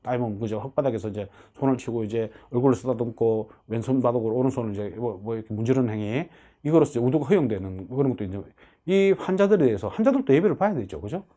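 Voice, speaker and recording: A man's voice: medium pitch, expressive, fast, dark tone. A man giving an explanatory religious lecture as a teacher, often posing questions and answering them himself. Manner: didactic, asks and answers own questions.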